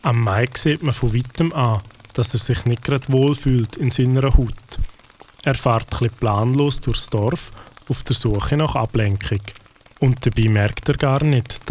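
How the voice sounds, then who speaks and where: Man, Swiss German accent, calm narrator, faint hiss. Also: medium pitch, a little slow. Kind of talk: scripted storybook narration.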